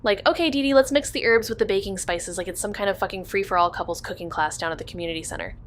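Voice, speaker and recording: An actress playing a guarded, precise young sleuth in an audio drama, with dry, sarcastic delivery. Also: female voice, medium pitch, fast, slight background noise, close to an everyday voice. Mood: flustered. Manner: dry, hesitant when personal. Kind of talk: scripted audio drama dialogue and narration.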